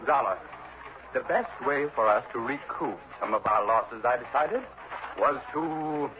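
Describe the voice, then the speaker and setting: Through the hiss of a dull old radio recording comes a pompous, penny-pinching insurance executive played by a man. He stammers and blusters in a medium-pitched voice, fussy and easily affronted, in early 1960s radio drama style. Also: a little slow, lightly acted. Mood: blustery, insistent. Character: fussy, flustered, tight-fisted male insurance executive.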